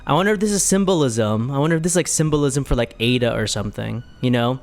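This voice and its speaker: A man's voice: mid-range voice, fast, soft background music. A man giving casual, playful commentary as he plays a video game, rambling and reacting as he goes. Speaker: streamer playing a video game.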